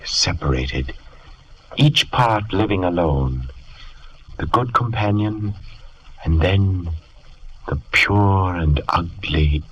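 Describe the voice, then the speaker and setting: A man's voice: sits low, animated, slow, long pauses, a bit bright, breathy edge, rough recording. A man narrating an old radio horror drama in a grave, solemn manner.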